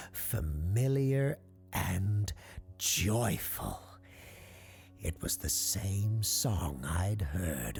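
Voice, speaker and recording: A man's voice: low-pitched, gravelly, a little mellow, full character voice. A man voicing a grandiose, obsessive circus owner in an audiobook, theatrical and rapturous, savoring each word. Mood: dreamy, obsessive. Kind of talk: audiobook character acting.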